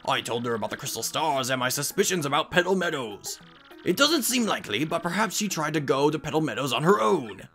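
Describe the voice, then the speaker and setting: A man voicing a shriveled old Goomba professor, an excitable, fussy scholar who lectures and exclaims, in a game fan dub. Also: a little high, fairly quick, faint music behind, heavily acted. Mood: earnest.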